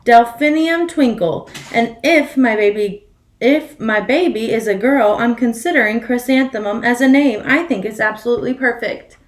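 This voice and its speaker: A woman voicing a kind, dreamy music teacher in a storybook, gentle, proud and deliberate. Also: on the high side, close to an everyday voice. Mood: gently proud.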